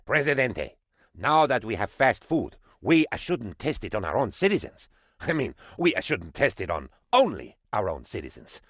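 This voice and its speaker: An actor voicing a bumbling, excitable and fawning aide to a dictator in a comic video game, with theatrical delivery. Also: male voice, mid-range voice, no room sound, clean studio sound. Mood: confident, scheming. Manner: theatrical, fawning, swooping between excitement and dismay. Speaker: game advisor character.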